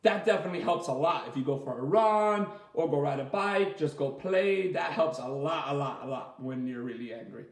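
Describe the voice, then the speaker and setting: Male children's coach, high-pitched, encouraging and simple in his explanations, recorded in an echoey room. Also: animated, vocal fry. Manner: encouraging, praising, explains slowly and simply with repetition. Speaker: martial arts coach leading children's story time. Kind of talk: interactive children's lesson, chatty.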